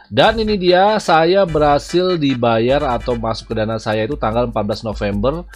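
A man in his thirties hosts a casual gaming video with a chatty delivery. His voice sits at a medium pitch. Music plays behind him as he talks viewers through the game on a headset mic. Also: normal speed. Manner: chatty, addresses viewers as "guys". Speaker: gaming YouTuber, host. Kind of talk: casual gameplay commentary to camera.

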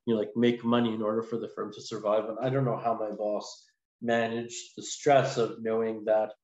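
A man in his forties speaking casually and haltingly as an interview guest over a video call. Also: lowish voice, flat delivery, a little mellow. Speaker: podcast guest, lawyer. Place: small indoor room, video call. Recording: echoey, muffled video call with noise.

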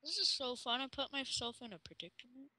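A young woman chatting casually while playing a game, playful and excitable, often pleading with the other player. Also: sits high, brisk, dry recording, rough recording. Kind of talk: casual gaming chat.

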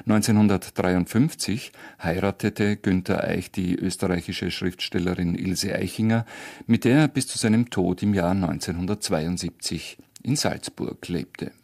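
A man's voice: sits low, unhurried, vocal fry, a little mellow. A man hosting a radio programme with scripted narration. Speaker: radio host.

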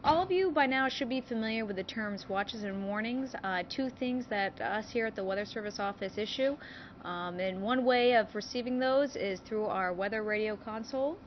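A woman's voice: on the high side, moderate pace. Young woman explaining, muffled noisy recording. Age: young adult. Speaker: weather service presenter. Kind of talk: informal educational demonstration. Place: weather service office full of computers. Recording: muffled audio with clear background noise.

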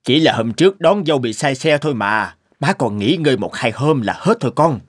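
A man's voice: medium pitch, animated, brisk, husky, dry recording, clean studio sound. A man playing a mild-tempered, placating older father-in-law in a cartoon. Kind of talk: cartoon dialogue.

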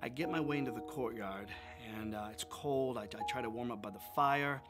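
A man's voice: mid-range voice, brisk, close to an everyday voice. A man playing a remorseful fisherman disciple. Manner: confessional, swings from wry to anguished outbursts. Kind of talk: first-person dramatic monologue. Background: instrumental music.

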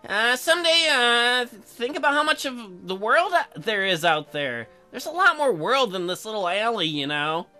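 A man's voice: sits high, big pitch swings, normal speed, hardly any pauses, bright, forceful tone, faint music behind, full character voice. A man voicing an earnest, goofy young farmer boyfriend who dreams big, in a visual novel game. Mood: dreamy, earnest.

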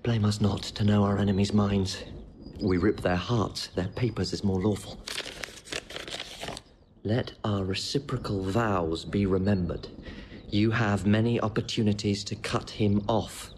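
An actor playing a gentle, loyal young nobleman disguised as a poor man, earnest and measured, in a Shakespearean audio drama. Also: on the low side, steady tone, noisy background, lightly acted. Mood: intent.

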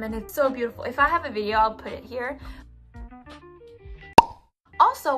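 Giggly high-voiced young woman vlogging. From Japan. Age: young adult. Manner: animated, giggly, lots of "like" and "you know". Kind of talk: casual chat to camera. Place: small bedroom indoors.

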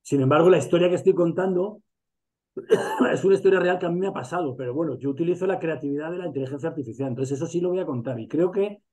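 Guy from spain on a video call, kinda high voice, rambles with lots of restarts. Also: fast, dark tone. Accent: Spanish from Spain. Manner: talkative, many restarts and fillers. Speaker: masterclass host. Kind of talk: casual video call discussion.

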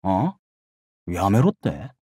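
An actor playing a brash, bloodthirsty young male samurai in a mobile game, with rough, swaggering speech. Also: high-pitched, sing-song, moderate pace, no room sound, studio quality, full character voice. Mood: menacing. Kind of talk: Japanese mobile game character voice lines.

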